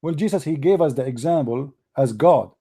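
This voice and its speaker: A man's voice: on the high side, brisk, dark tone, breathy edge, no room sound. A man hosting a heated call-in debate, his voice tired and dry, combative and repeating himself. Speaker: debate show host.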